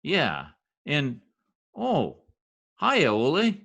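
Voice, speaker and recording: A man's voice: on the high side, wildly swooping pitch, very slow, vocal fry, fairly bright tone, breathy edge, full character voice. A man playing a folksy, bumbling middle-aged American husband in a radio comedy, slangy and pompous. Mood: self-important. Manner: slangy, pompous, mangles words. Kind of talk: old-time radio comedy acting.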